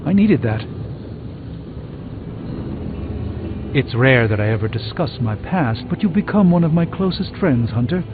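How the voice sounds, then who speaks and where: An actor voicing an urbane, proud middle-aged sorcerer in a video game, speaking with eloquent, theatrical dry wit. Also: male voice, medium pitch, expressive, fairly quick, noisy background, lightly acted. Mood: warm, sincere. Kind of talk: scripted video game dialogue. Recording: thin phone-like band, loud music behind.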